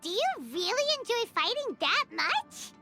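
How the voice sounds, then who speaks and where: A woman voicing a small, cheerful, chatty fairy companion with a squeaky, childlike voice in an animated video game. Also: a little slow, faint music behind, full character voice. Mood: teasing, musing.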